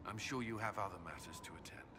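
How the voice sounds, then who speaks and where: An actor playing an earnest, guarded military commander in a video game, speaking in formal phrases with a burdened sense of duty. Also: male voice, on the low side, quick pace, in a large hall, noisy background, lightly acted. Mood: subdued, tender.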